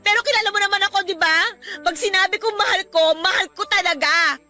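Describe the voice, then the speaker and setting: Very high, bright, emotional Filipina young mother. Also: fast talker, soft background music. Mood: tearful pleading. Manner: emotional, confrontational, pleading. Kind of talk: Filipino radio drama acting.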